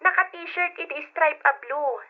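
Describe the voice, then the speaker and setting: A tinny telephone line carries a worried, tattling young woman, extremely high in pitch. She has an Ilocano Filipino accent and speaks in urgent, old-time radio drama style. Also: unhurried. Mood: worried, confiding. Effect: telephone filter. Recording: thin, tinny phone line.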